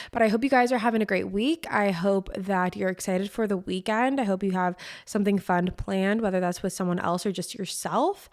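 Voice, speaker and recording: A young American woman hosting a solo podcast, talking casually and effusively, with informal, emphatic phrasing. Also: medium pitch. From Connecticut, US East Coast. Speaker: podcast host.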